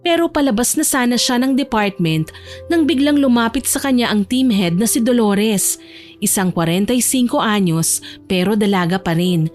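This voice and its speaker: A woman's voice: fairly high pitch, lively intonation, normal speed, a little mellow. A woman reading scripted narration for a romance audio drama, with music playing behind her.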